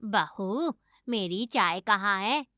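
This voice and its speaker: Sharp-voiced nagging mother-in-law. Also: medium pitch, animated, extremely slow, no echo, clean studio sound, full character voice. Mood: suspicious, scolding. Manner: nagging, accusatory. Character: scolding older mother-in-law, sharp and suspicious. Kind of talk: animated moral story dialogue.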